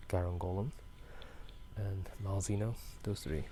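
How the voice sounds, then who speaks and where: A man in a hushed, half-whispered ASMR chat, rambling hesitantly as he walks outside at night. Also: deep voice, steady tone, a little slow. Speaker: gaming and ASMR video creator. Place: outdoors at night, walking. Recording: noisy night outdoors, frogs croaking. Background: frogs croaking, night ambience.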